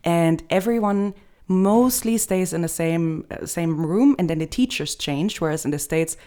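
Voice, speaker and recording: A young woman from Germany, whose first language is German, talks in a fairly low voice. She explains things conversationally, stepping through examples as she goes. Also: unhurried, mellow. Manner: explanatory, gives many examples.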